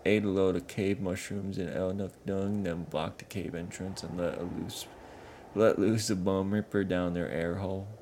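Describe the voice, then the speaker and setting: This is a gruff male troll lackey, blunt and loud, mangling his grammar as he blurts out gossip, put on by a man reading a story. The voice stays low and gravelly. A steady hiss of noise sits behind the recording. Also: normal speed. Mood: gossipy.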